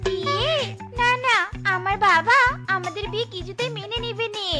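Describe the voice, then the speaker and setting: A shrill, extremely high cartoon fox wife voiced by a woman, young, demanding and melodramatic. Her delivery is exclamatory and dramatic, over loud tinkling children's music. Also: normal speed. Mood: coy. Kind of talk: children's cartoon story dubbing.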